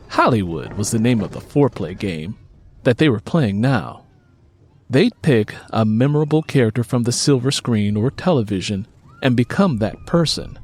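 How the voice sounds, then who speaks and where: A man's voice: mid-range voice, a little mellow, slightly rough. A man reading scripted fiction as an audiobook narrator.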